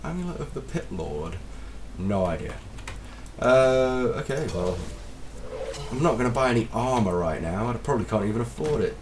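A man with a slight cold, casually commentating his gameplay as a let's play host, excitable and self-deprecating. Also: medium pitch, normal speed, far from the mic, a little hiss or hum.

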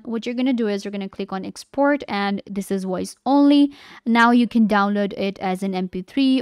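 Clear-voiced woman presenting a tutorial. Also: mid-range voice, no room sound, clean studio sound. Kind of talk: casual screen-recorded software tutorial.

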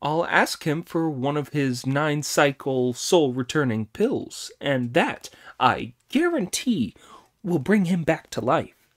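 Guy doing a cheeky monkey king, squeaky high and loud, bouncy up-and-down delivery. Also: sing-song, slow, exaggerated voice. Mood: eager. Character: brash cheeky monkey king, boastful and teasing. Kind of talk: audiobook character voice.

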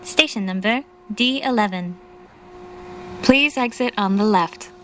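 A woman giving a formal, measured, carefully enunciated recorded station announcement as a train announcer. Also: mid-range voice, fairly bright tone. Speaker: English-language train announcer. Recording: faint train noise. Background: train car ambience.

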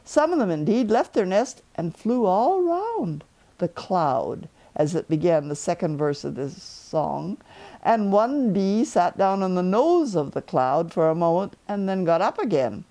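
A woman's voice: lowish voice, big pitch swings, unhurried, dark tone. A woman in her seventies narrating a children's storybook as a grandmother storyteller, indoors in a living room. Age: 70s.

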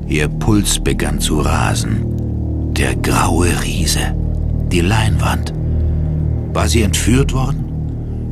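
A man giving dramatic scripted narration for a German horror audio drama. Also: fairly low pitch. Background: music.

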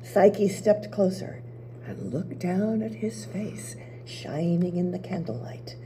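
An older woman, the playwright, narrating her own play in a hushed, near-whispered voice at a table on a small theater stage. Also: lowish voice, animated, takes it slowly. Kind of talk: story narration at a play reading. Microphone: table mic.

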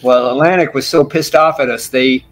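A man in his sixties, a bassist guest telling long rambling stories in a casual interview over a video call from a small home room. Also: steady tone, moderate pace. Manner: talkative, rambling storyteller. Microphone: video call with desk mic.

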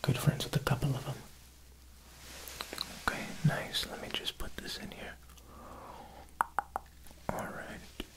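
A young man playing a smooth, quietly menacing Italian mob boss tailor in an ASMR roleplay, speaking softly and unhurriedly at a close mic, with insinuating pauses. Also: natural voice, rough recording. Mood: careful, insinuating. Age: young adult. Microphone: close ASMR microphone.

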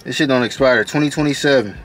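A man's voice: medium pitch, fast talker, very noisy. A man in his twenties with an American accent arguing casually and heatedly in a car, exasperated, slangy and sweary, repeating himself. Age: 20s. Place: inside a parked car. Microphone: camera on the dashboard.

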